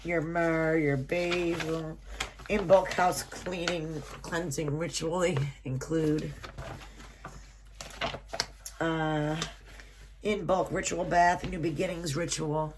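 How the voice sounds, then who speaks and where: A low-voiced woman speaks slowly through a stuffy, nasal head cold. She reads out item lists and prices with casual asides, recorded in a small room at home with a steady hiss of background noise. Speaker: subscription box reviewer. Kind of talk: casual unboxing, reading from a booklet.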